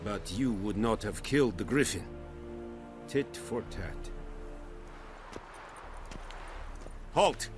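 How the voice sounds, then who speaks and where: A man playing a stern imperial military commander in a fantasy game, cold, contemptuous, formal and clipped. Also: lowish voice. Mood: cool, disdainful. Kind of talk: video game dramatic acting.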